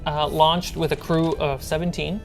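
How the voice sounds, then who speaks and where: A woman narrating a tabletop role-playing game as game master, describing things in an informal, halting way. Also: low voice, level tone, faint music behind.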